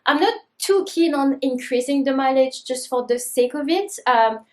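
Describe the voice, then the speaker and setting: A woman's voice: high voice, lively intonation, moderate pace, darkish tone. A woman vlogging about her running plans, upbeat and methodical as she lists her race times. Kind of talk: talking to camera. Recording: roomy echo.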